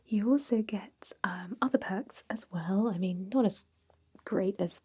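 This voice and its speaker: A woman playing a friendly, chatty old vampire job interviewer in a soft, breathy ASMR roleplay whisper. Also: medium pitch, a little slow. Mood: chatty, explanatory. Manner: gentle, chatty, encouraging.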